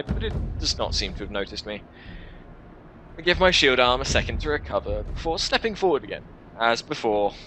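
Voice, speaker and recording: A man's voice: lowish voice, pushed and bright, a little hiss or hum. A man hosting a gaming video, reading visual novel text aloud with dramatic narration and wry, incredulous asides.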